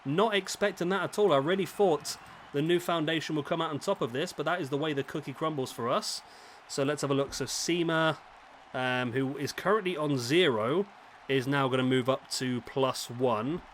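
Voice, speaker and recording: A man's voice, a touch raspy from a sore throat, talks through a wrestling game in a loose, chatty way, often stopping to correct himself. His pitch sits in an ordinary middle range. A faint hiss sits under his headset mic. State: rough, slightly raspy throat. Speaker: host commentating a simulated wrestling league. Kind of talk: casual game commentary. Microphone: headset microphone.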